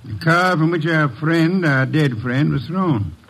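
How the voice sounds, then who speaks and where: Imperious radio-mystery detective: highish, gravelly male voice, formal, grumbling, pompous. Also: a little slow, fairly bright tone, a little hiss or hum. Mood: grave, deliberate. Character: imperious, irritable, erudite male private detective. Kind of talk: old-time radio mystery acting.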